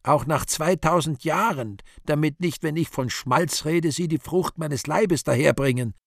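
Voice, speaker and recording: A man's voice: on the high side, measured pace, gravelly, dry recording, clean studio sound. A man playing a regal, condescending and easily appalled Virgin Mary in a radio play, in a genteel, exclaiming manner. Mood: exasperated, condescending.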